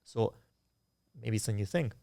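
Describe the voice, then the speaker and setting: A young man with an Italian accent talks as a musician guest in a loose, animated interview. He leans on fillers and swears freely as he tells stories. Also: fairly low pitch, normal speed, vocal fry, no echo, clean studio sound. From Italian Canadian. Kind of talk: casual interview storytelling.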